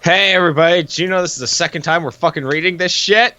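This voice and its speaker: A man's voice: a little high, fast talker, bright, forceful tone, dull audio. A man co-hosting casual comedic banter, blunt, foul-mouthed and exasperated as he reacts. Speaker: co-host reacting to a comic reading.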